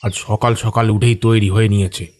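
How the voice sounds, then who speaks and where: A man's voice: fairly low pitch, level tone, a little fast, darkish tone, natural voice. A man playing a chatty household servant in an audio drama, talking in a colloquial, complaining way. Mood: grumbling, gossipy. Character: chatty, grumbling male household servant, familiar and folksy. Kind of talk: Bengali audio drama acting.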